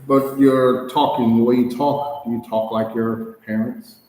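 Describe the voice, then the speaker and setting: A man runs a practice speaking test at an unhurried pace, asking one question at a time and answering with short acknowledgements. His voice sits at an ordinary pitch. It rings through a very echoey room with a long reverb tail and faint background noise. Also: flat delivery, darkish tone. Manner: measured questioning with brief acknowledgements. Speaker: IELTS speaking examiner.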